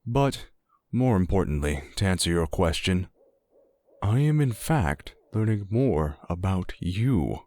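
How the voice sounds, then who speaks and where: An actor playing a contemplative druid traveler in a fantasy audio drama, speaking in a formal, measured, musing manner. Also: male voice, sits low, darkish tone, no room sound, full character voice.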